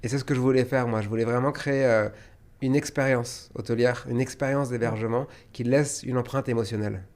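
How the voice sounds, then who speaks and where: A man's voice: mid-range voice, fairly even intonation, fairly quick, a little mellow. A man from France, born in Tunisia, speaking emphatically and conversationally as an interview guest, telling stories. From born in Tunisia, grew up in France. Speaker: podcast guest, hotelier.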